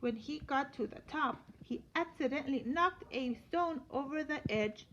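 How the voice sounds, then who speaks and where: A woman teacher reading a children's story aloud in an expressive storytelling manner. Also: high-pitched, normal speed. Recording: dull lo-fi sound with light noise.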